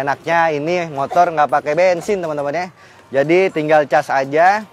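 Chatty young man testing a scooter outdoors. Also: a little high. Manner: chatty, keeps addressing viewers as friends, many fillers. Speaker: automotive news presenter. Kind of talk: casual on-location vehicle test. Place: outdoors on a flooded residential street.

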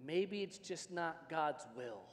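Middle-aged American male pastor, high-pitched, emphatic and impassioned, reverberant hall. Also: expressive, slow. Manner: emphatic, dramatic swings from hushed to shouting. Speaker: pastor preaching. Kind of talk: impassioned sermon with personal storytelling. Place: large church stage indoors. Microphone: worn microphone, hands free. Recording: reverberant large hall sound system.

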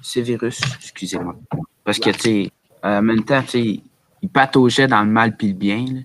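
A young man with a Quebec French accent talks casually among friends. His voice sits fairly low. The call has faint noise behind him. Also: moderate pace, a bit bright, poor audio. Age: young adult. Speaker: podcast panelist. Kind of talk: casual chat.

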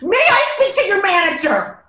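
A woman voicing an entitled, indignant, demanding customer for an animated sitcom table read. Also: very high-pitched, normal speed, roomy sound, heavily acted.

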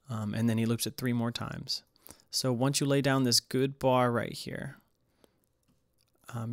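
A man hosting a casual music production tutorial, explaining in a hesitant, halting way. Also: mid-range voice, no room sound, clean studio sound. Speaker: tutorial host.

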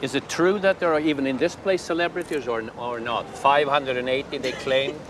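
A man playing a polite Swedish tourist, speaking in a hesitant, halting way. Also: very high-pitched, huge pitch swings, heavily acted. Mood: hesitant, curious. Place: outdoor shopping plaza. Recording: distant voice, clear outdoor street noise.

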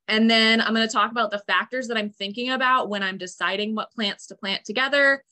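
A woman's voice: on the high side, fairly even intonation, a little fast, bright, forceful tone. A young woman farmer giving a chatty, upbeat online presentation with many fillers, speaking over a video call. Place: room at home, indoors.

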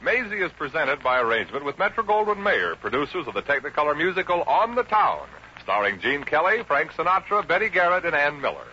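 Animated American 1950s radio announcer, male. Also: a little high, brisk, noisy background. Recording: muffled 1950s radio recording with music.

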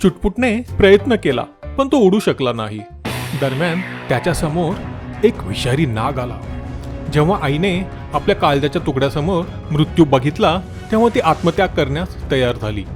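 A man narrating a scripted children's story in an expressive, dramatic way. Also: a little high, moderate pace, faint music behind.

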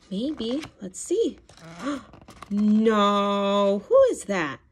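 A woman reading a picture book aloud to young children in an animated, expressive way, with gasps, questions and encouraging praise. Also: mid-range voice, very slow, slight background noise. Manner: animated, expressive, sing-song. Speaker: children's read-aloud storyteller. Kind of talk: interactive picture-book read-aloud for toddlers.

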